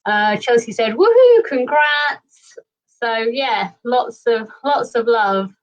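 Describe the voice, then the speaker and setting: Female host, fairly high voice, upbeat and giggly. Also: animated, takes it slowly, distant mic, dull audio. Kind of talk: live stream hosting. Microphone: video call.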